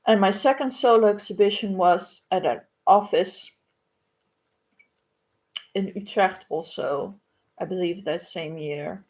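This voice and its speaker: Slow, halting woman. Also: mid-range voice, a little mellow, rough recording. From Ukraine. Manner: halting and reflective, many pauses and fillers. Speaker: artist. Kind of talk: livestream monologue.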